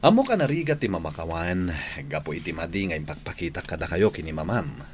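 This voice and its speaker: Deep-voiced, pleading repentant suitor. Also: male voice, very animated, fast talker, slight background noise. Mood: remorseful, earnest. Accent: Ilocano. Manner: earnest, imploring. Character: repentant, pleading male suitor seeking forgiveness from wary woman. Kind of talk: Ilocano radio drama acting.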